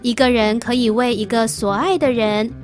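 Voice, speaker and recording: A woman with a fairly high voice reads scripted quiz prompts. Faint music runs behind her. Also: fairly even intonation, normal speed. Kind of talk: scripted reading, repeated prompts. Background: instrumental music.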